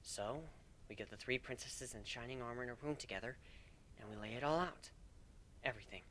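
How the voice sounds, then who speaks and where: Man as a hushed, sly changeling pony wife. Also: mid-range voice, a little fast, a little hiss or hum, close to an everyday voice. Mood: uncertain, gentle. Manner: hushed, murmuring, teasing. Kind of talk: dramatic fan fiction reading.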